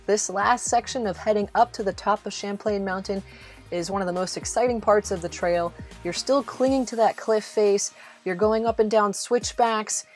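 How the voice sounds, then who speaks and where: A woman's voice: mid-range voice, darkish tone. A woman giving a casual, encouraging voice-over guide as a travel vlog host, speaking directly to the listener. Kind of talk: casual instructional voice-over narration. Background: background music.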